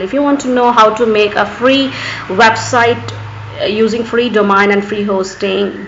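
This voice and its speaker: A woman with an Indian accent and Urdu as her first language narrates an unscripted screen tutorial as host, often saying "actually". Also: mid-range voice. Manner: repeatedly says "actually". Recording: echoey, muffled, background noise.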